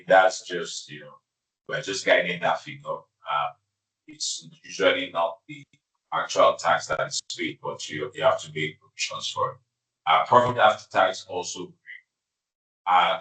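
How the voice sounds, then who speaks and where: A man, speaking as an executive presenting financial results in a formal, halting, measured way. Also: low voice, pushed and bright. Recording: distant, echoey conference call audio.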